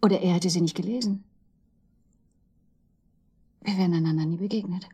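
Breathy, giddy woman in love. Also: on the low side, mellow. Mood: wistful, pleading. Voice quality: breathy, often dropping to a whisper. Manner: halting, emotional, swinging between murmur and outburst. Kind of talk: intimate radio drama monologue.

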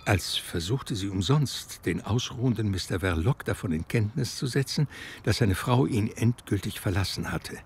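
An actor playing a grave literary narrator in a radio drama, telling a dark thriller with deliberate, weighty phrasing. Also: male voice, lowish voice, lively intonation, breathy edge. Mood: ominous. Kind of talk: scripted narration.

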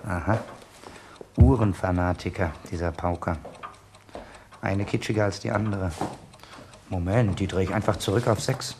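A man's voice: sits low, moderate pace, long pauses. An actor playing a cheeky young man posing as a mischievous schoolboy, mock-polite, in a German radio play. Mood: sly, scheming. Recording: noisy radio play recording.

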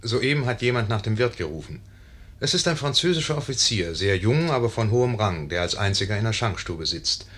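A wry, urbane storyteller narrates an old radio comedy. The voice is fairly low and moves with animated intonation. He is conversational and confiding, savouring the suspense. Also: a little fast. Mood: amused, confiding. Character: wry, urbane male storyteller addressing listeners. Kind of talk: 1950s scripted radio play narration.

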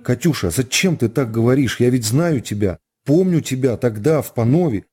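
Man acting remorseful gentleman, earnest, pleading, halting, dark mellow timbre, radio drama. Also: mid-range voice, lively intonation, slightly rough.

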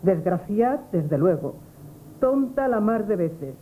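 An actress playing a proud, devoted, spurned sweetheart, earnest and pleading, in a Spanish radio play. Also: female voice, mid-range voice, sing-song, slow, mellow. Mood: wounded, dignified. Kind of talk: Spanish radio theatre acting, 1970s. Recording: thin, tinny, noisy old radio broadcast.

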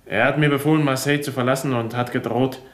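A man's voice: mid-range voice, steady tone, quick pace, roomy sound, lightly acted. A man playing a sardonic, defiant young man. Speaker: nephew of the murdered man. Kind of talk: 1970s radio crime drama acting.

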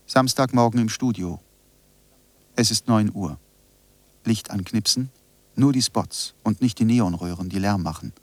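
A man playing a reflective narrator in a scripted radio drama, speaking in measured phrases. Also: fairly low pitch, no echo. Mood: quiet, concentrated. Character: reflective male narrator reciting essays and studio notes.

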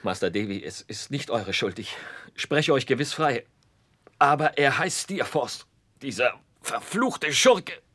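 An actor playing a humble, heartbroken young working man in a 1960s radio play, husky, halting and earnest. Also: medium pitch, animated, a bit bright. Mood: bitter, anguished.

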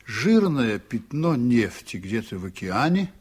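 A brooding sea captain. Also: male voice, medium pitch, big pitch swings, unhurried, slightly rough. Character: weary middle-aged male ship captain, brooding. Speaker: ship captain. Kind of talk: 1980s radio drama acting.

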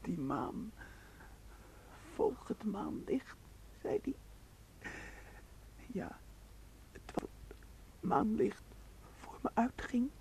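An actor playing a hot-blooded, jealous young man, a protective brother, in a Dutch radio play with intense, halting delivery. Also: high-pitched, sing-song, creaky, a little mellow, whispered, heavily acted, rough recording. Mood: dying, weak. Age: 20s.

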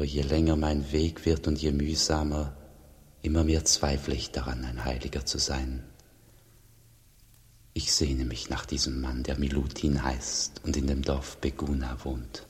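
An actor playing a humble, troubled wandering monk in a radio drama, earnest, grave and restrained. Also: male voice, a bass voice, little pitch movement, a little slow, a little mellow, big-room sound. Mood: humble, searching.

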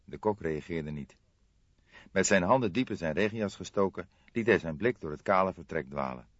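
A man's voice: low voice, lively intonation, normal speed, no room sound, muffled recording. A man reading scripted literary narration as an audiobook narrator.

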